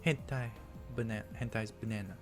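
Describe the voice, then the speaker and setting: A man voicing a blunt, deadpan young woman in a visual novel read-through, with a dismissive manner. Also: fairly low pitch, normal speed, music in the background, voice pushed up, natural voice. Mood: disgusted.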